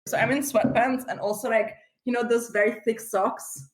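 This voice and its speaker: A woman from Hungary, an animated podcast guest who laughs easily and fills her talk with "like" and "you know", speaking over a video call. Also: fairly high pitch, normal speed. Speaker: guest, community founder. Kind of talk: casual interview chat. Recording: echoey room on a video call.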